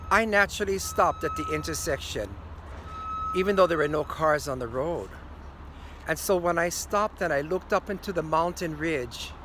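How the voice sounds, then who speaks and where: An older man with a Hawaiian accent telling a ghost story to the camera, slowing down and going quiet at the key moments. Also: fairly high pitch, noisy background. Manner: slow, hushed at key moments. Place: green screen studio. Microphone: facing camera at close range.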